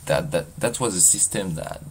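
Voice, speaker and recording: A man with a heavy French accent talks to his camera while walking outdoors. His voice sits very low and he hesitates often, filling pauses and repeating words. A faint hum of outdoor ambience runs underneath. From France. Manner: halting, many fillers and restarts. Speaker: vlogger. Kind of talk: casual walking vlog. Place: outdoors in a seaside mountain town.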